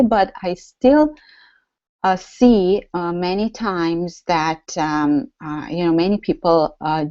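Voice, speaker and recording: A woman's voice: deep voice, warm tone, no echo, muffled recording. A woman with a Romanian accent hosting a live online workshop, chatty and full of fillers and restarts. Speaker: workshop host. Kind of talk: live webinar teaching.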